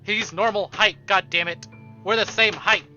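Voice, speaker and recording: A man streaming a visual novel, reading text aloud and commenting with free swearing in an animated manner. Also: high-pitched, moderate pace, highs cut off. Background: game music.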